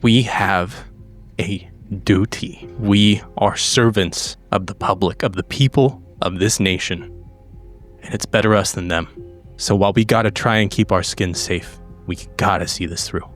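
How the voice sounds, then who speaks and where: A man in character as a weary, duty-bound agent in his thirties, earnest and halting, in a horror roleplay. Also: fairly low pitch, faint music behind, lightly acted. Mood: solemn, resolute. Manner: earnest, halting, swears under pressure.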